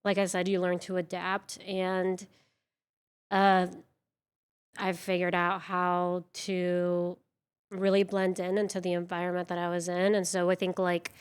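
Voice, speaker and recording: A woman's voice: fairly low pitch, monotone, a little slow, smooth. A woman from Venezuela, a native Spanish speaker, chatting casually as a guest on an interview show, with lots of fillers and self-deprecating asides.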